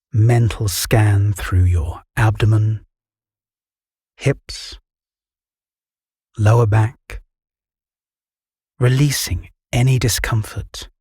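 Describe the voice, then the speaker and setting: A British man guiding a meditation in a calm, gentle, soothing voice with measured pauses and a soft, breathy tone. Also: on the low side, dry recording, clean studio sound. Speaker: meditation guide. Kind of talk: guided meditation narration.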